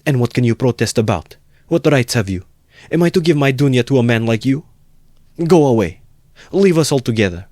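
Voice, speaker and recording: A man's voice: lowish voice, quick pace, hardly any pauses, a little mellow, dry recording, clean studio sound, lightly acted. A man voicing an indignant, fiercely protective older mother in an audiobook, with exclamatory, scolding questions.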